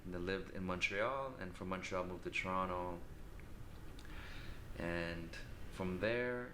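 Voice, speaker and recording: A man's voice: deep voice, takes it slowly, echoey room, background noise. A man in his thirties from Sri Lanka, a podcast guest talking in a reflective, rambling way. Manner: reflective, rambling, spiritual talk. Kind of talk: casual interview chat.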